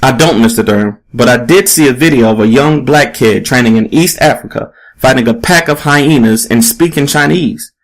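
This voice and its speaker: A man's voice: mid-range voice, moderate pace, hardly any pauses, natural voice. A man voicing a pushy, relentless female investigative reporter in an audiobook story, with an emphatic, probing delivery. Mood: insistent.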